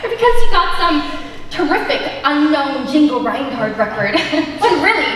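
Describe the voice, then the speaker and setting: Teen girl acting sharp-tongued young woman in a stage comedy, high swoopy voice, super echoey hall. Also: normal speed, far from the mic. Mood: indignant, sarcastic. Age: teenager or young adult high school student. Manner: projected, animated stage delivery. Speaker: student actor in a high school one-act play. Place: high school auditorium stage. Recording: echoey auditorium recorded from afar, noisy.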